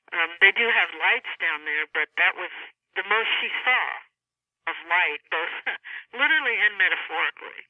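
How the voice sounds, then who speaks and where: A woman author explaining her work in a conversational interview, pausing mid-sentence as she goes. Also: lowish voice, no room sound. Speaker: author being interviewed about a novel. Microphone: telephone. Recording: tinny narrowband phone line.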